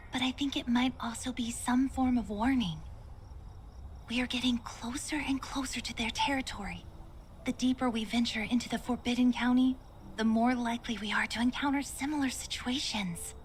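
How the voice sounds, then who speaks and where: Actress as gentle troubled female doctor in a game: higher voice, breathy, hesitant, faint noise behind. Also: moderate pace, close to an everyday voice. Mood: wary, concerned. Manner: soft, breathy, hesitant and thoughtful. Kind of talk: scripted video game dialogue.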